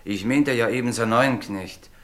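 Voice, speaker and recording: An actor playing a hearty village blacksmith in a radio play, rambling colloquially. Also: male voice, medium pitch, moderate pace, a bit bright, natural voice. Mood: genial, rambling. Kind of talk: German radio play acting.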